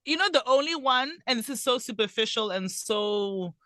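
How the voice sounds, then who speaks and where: A woman's voice: on the high side, lively intonation, moderate pace, pushed and bright, dry recording, clean studio sound. A woman co-hosting a podcast, chatting candidly and reflecting aloud with lots of ums and likes. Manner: reflective, thinking aloud with many fillers. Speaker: podcast co-host. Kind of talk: candid casual chat. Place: Australia.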